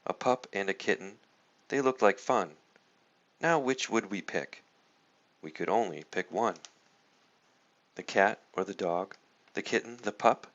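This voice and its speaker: A man reading a children's storybook aloud in an expressive, playful, rhythmic way. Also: on the low side, unhurried, long pauses, dull audio. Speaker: storybook reader.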